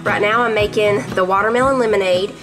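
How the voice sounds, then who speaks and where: Mom host, homey chatty cook-along, music and sizzling pan behind. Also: female voice, mid-range voice, quick pace. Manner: chatty, homey, talks to viewers as y'all. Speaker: home cook, channel host. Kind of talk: casual cook-along narration. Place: home kitchen. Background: background music and sizzling pan.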